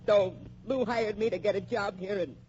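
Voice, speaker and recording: An actress playing a defiant but frightened young employee, slangy and stammering under pressure, in an old-time radio crime drama. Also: female voice, mid-range voice, fairly even intonation, normal speed, darkish tone, natural voice. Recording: muffled, hissy old radio recording.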